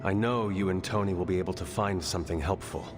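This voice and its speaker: A man's voice: low-pitched, fast talker, in a large hall, music in the background, natural voice. An actor playing an earnest, resolute young male warrior hero in a video game. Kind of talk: video game cutscene acting.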